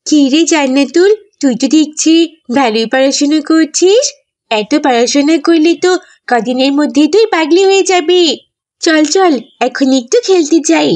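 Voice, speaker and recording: A woman's voice: high-pitched, moderate pace, darkish tone, close to an everyday voice. A woman voicing a boastful, scornful schoolgirl in a children's cartoon, sassy and scolding. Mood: teasing. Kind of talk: scripted cartoon dubbing.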